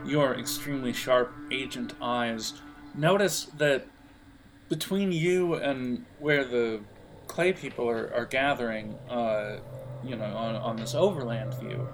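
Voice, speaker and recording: Gravel-edged man running a tabletop game. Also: medium pitch. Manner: halting, thinking aloud. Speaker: game master. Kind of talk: narrating an audio role-playing game.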